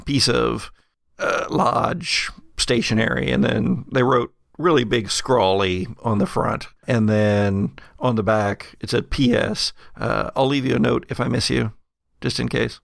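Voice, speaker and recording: A man with a gravelly voice improvising narration as game master of a tabletop roleplaying game, in a halting, conversational way. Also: medium pitch, steady tone, no room sound, clean studio sound.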